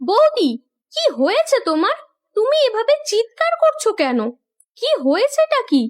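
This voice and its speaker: A woman playing a gentle, dutiful young village wife and daughter in a cartoon. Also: very high voice, sing-song, normal speed. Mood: concerned. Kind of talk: cartoon voice acting.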